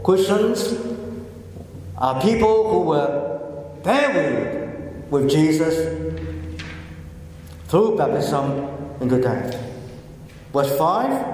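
A man whose first language is Chinese, preaching a sermon slowly and repeating key phrases for emphasis, in an echoey hall. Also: fairly high pitch, darkish tone, slight background noise. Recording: echoey hall, heard from a distance.

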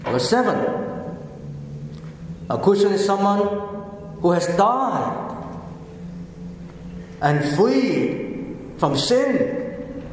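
A man whose first language is Chinese, preaching a sermon slowly and repeating key phrases for emphasis, in an echoey hall. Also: sits high, big pitch swings, a little hiss or hum. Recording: echoey hall, heard from a distance.

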